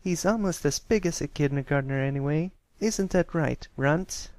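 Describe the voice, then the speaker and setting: A man's voice: fairly high pitch, moderate pace, a little mellow, no room sound, studio quality, pitched above the performer's own voice, close to an everyday voice. A man voicing a spoiled, malicious schoolgirl filly pony who taunts smugly in a storybook reading. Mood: taunting, smug.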